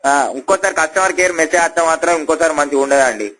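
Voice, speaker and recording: A man with a Telangana Telugu accent argues down a phone line as a disgruntled customer. His voice sits a little high and the words come quickly. He repeats his complaint insistently and peppers it with coarse language. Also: a bit bright. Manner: argumentative, repetitive, foul-mouthed. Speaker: customer complaining on a helpline call. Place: telephone call. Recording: phone call recording.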